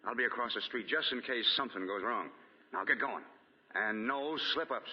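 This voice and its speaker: An actor playing a scheming, bossy nightclub owner with gruff, slangy commands, in 1940s radio drama style. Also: male voice, medium pitch, normal speed, very noisy, lightly acted. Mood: urgent, conspiratorial. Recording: dull, reverberant 1940 radio broadcast recording.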